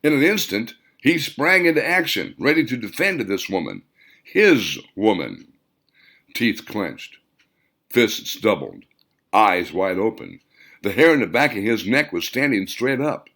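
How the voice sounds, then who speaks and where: A man reading his own novel as an audiobook, with dramatic, expressive storytelling. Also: lowish voice, a little slow. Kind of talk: scripted audiobook narration.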